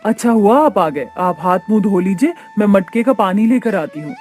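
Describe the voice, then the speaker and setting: A woman playing a gentle, patient young mother from a poor family in a cartoon, with a soothing manner. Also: medium pitch, lively intonation, moderate pace, soft background music, lightly acted. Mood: tender.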